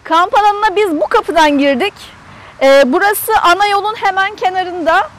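Female travel vlogger, high voice, chatty and explanatory, casual walk-around tour delivered outdoors. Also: moderate pace, a bit bright. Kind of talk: casual guided tour to camera. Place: outdoors in an open camper park lot.

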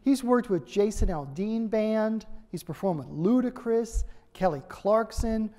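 A man's voice: high-pitched, animated, a little slow. A man in his fifties giving an enthusiastic stage introduction at a podium in a large hall. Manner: warm, enthusiastic, emphatic repetition. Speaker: event host introducing the speaker. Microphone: podium mic. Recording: reverberant hall with audience murmur.

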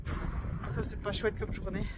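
A woman's voice: mid-range voice, flat delivery, normal speed. A woman in her thirties chatting casually to a phone camera on a family travel vlog, with lots of hesitations and restarts. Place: outdoors on a windy coast, later in a camper van and a restaurant. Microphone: phone camera at arm's length. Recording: outdoor phone recording with background noise.

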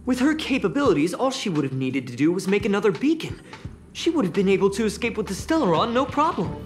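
A woman voicing an earnest, excitable young investigator in a sci-fi video game, expressively thinking aloud. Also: medium pitch, fast, natural voice. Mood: curious, analytical. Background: background music.

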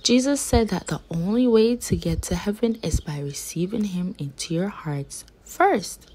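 A woman reading a children's picture book aloud as a storyteller, with gentle, deliberate, emphatic phrasing. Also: medium pitch, expressive.

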